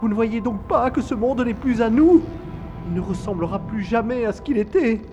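An actor playing an unhinged, haunted former dragon hunter turned fearful hermit in a French sci-fi audio drama, theatrical and fatalistic. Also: male voice, very high voice, normal speed, highs cut off. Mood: fearful, defiant. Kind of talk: French science-fiction audio drama acting. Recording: music behind the voice.

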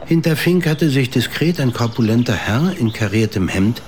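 A man's voice: mid-range voice, animated, normal speed, a little hiss or hum. A man narrating a radio play in scripted literary prose.

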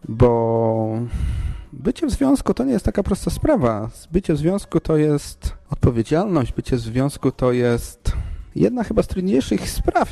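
A man's voice: a little high, vocal fry, mellow, exaggerated voice. Man acting a fussy, rambling, exasperated lover. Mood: weary, pompous. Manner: halting, rambling, pompously philosophizing. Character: fussy, exasperated male lover, self-important and evasive. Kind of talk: comic podcast radio play.

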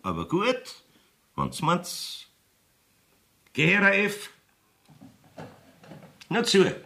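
Wily Bavarian village judge, swooping pitch. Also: male voice, huge pitch swings, very slow, pushed and bright, heavily acted. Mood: wheedling, veiled menace. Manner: blustering, rambling, wheedling. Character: wily, evasive old village judge bending the law. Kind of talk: 1970s dialect radio play acting.

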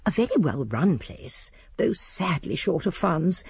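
A genteel elderly English spinster, shrewd and primly judgmental. Her voice is very husky and mid-pitched, rising and falling expressively as she tells her story in a close, dry radio drama recording. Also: big pitch swings, unhurried, darkish tone, no room sound, full character voice. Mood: prim, gossipy. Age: older. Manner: prim, precise storytelling. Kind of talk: first-person radio drama narration.

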